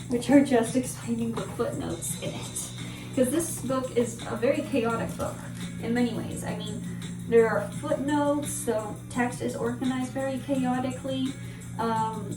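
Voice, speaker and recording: Casual female vlogger, first language Polish, rambling and self-correcting, fairly high voice, distant mic in a roomy space. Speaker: book vlogger. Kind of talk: casual book talk to camera. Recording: echoey room with mic at a distance and music behind.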